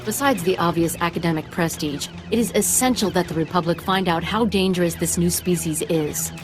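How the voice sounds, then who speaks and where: A keen woman scientist voiced by an actress with a fairly low voice. She is brisk and analytical, in game cutscene style, over clear music. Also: lightly acted. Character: keen woman field scientist studying alien creatures. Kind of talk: video game cutscene acting.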